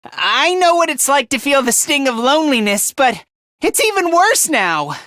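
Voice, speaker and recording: A man's voice: very high-pitched, big pitch swings, normal speed, pushed and bright, dry recording, clean studio sound, full character voice. A man voicing a timid, self-loathing young male student fighter in an English video game, hesitant and stammering as he puts himself down. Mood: glum, self-loathing.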